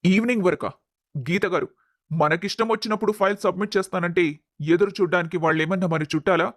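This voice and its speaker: A man's voice: high voice, quick pace, a bit bright, dry recording, clean studio sound. A man playing a stern young company boss in a dramatised family story. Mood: exasperated. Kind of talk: dramatised audio story acting.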